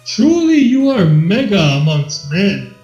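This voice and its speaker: A man's voice: high-pitched, very animated, takes it slowly, darkish tone, echoey room, heavily acted. A man voicing a theatrical, honourable robot villain in a fan story, declaiming pompously in archaic, grandiose speech. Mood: grand, admiring. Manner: pompous, declamatory Shakespearean delivery. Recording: dull lo-fi with background music.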